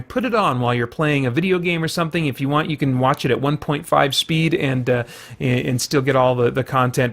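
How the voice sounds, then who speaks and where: A man hosting a podcast, running through community news in a casual, unscripted way, thinking aloud as he goes. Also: mid-range voice.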